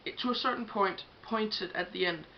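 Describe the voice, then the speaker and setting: A woman's voice: medium pitch, normal speed. Danish-accented young woman, chatty makeup vlog. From Denmark. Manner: chatty, hesitant, many ums while showing products. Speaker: makeup vlogger. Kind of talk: casual beauty tutorial. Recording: dull lo-fi home recording with faint hiss.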